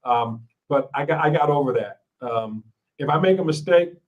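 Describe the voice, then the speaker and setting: A man's voice: on the high side, moderate pace, lo-fi sound. A man from Ohio hosting a podcast, chatting casually, laughing often and restarting his sentences. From Ohio, United States. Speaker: podcast host. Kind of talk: casual interview chat.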